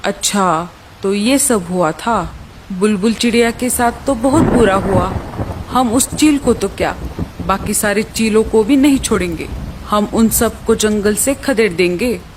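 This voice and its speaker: A woman's voice: medium pitch, unhurried, slight background noise, lightly acted, rough recording. A woman voicing a friendly male crow in a concerned, emphatic way for a children's cartoon story.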